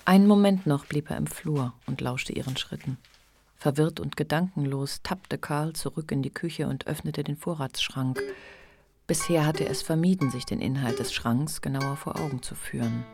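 A woman narrating a radio drama in a measured, observant literary storytelling manner. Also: deep voice, a little mellow, soft background music.

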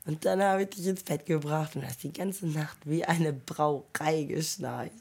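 A woman playing an affectionate, giggly wife in a radio play, teasing her husband in halting, repetitive, naturalistic dialogue. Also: low voice, gravelly, darkish tone, husky, full character voice. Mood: amused, teasing. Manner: giggly, halting, repeats herself.

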